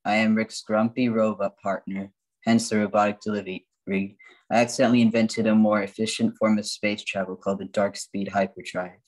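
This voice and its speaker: A man playing a grumpy, sarcastic robot bartender with a flat robotic delivery in an amateur radio play. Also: lowish voice, moderate pace, natural voice, low-quality recording. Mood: deadpan. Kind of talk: amateur old-time radio play acting.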